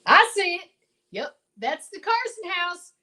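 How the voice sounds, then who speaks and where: A woman's voice: extremely high pitch, sing-song, a little slow, pushed and bright, full character voice. A woman playing a folksy older male small-town marshal, hemming and exclaiming in old-time radio drama style. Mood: urgent.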